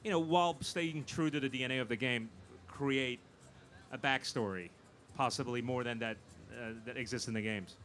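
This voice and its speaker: A man speaking as a film producer guest in a live panel interview, pausing and repeating words as he thinks. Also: medium pitch, fairly bright tone. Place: live convention stage. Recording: noisy live event room.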